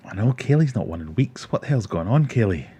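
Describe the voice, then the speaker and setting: A man's voice: fairly low pitch, sing-song, a little fast, dark tone, breathy edge. A man in his forties with a Glaswegian Scottish accent, hosting a casual livestream, chatting with viewers and telling self-mocking stories. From Scotland. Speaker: livestream host. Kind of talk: casual livestream chat reading comments.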